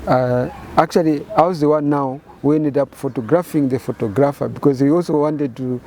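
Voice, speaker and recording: A Zambian man in his fifties speaks slowly and thoughtfully, stopping often and repeating words as he gathers his thoughts. His voice is mellow and dark. Faint traffic noise hums behind him. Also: a little high. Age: 50s. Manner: measured, halting phrasing with repeated words and fillers. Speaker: painter and photographer interviewee. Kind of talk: reflective interview answers.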